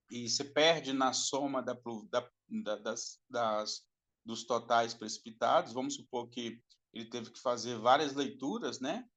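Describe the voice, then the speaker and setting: A man teaches an online class at a moderate, everyday pitch. He explains patiently and repeats points, with a dry throat that brings the odd cough. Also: fairly bright tone. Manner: explanatory, repeats himself and adds frequent "tá?" checks. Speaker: lecturer. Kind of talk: recorded online class lecture.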